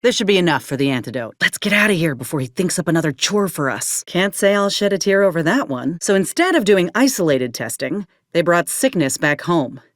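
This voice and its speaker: A woman's voice: fairly low pitch, animated, fairly quick, few pauses, dry recording, studio quality, close to an everyday voice. A woman playing a wary, plain-spoken former combat medic turned mercenary in a video game, with folksy frontier phrasing. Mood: watchful, dry. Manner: folksy, drawling frontier speech with ain't and folk. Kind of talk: video game character dialogue.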